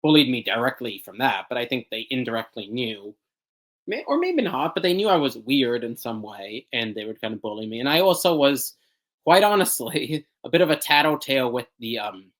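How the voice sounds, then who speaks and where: A young man gives a candid interview answer, wandering through his points with fillers and restarts. He laughs often mid-sentence and pokes fun at himself. His pitch sits in a middle range for a man. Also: very animated, moderate pace, a bit bright. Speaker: podcast guest. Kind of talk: candid personal interview talk.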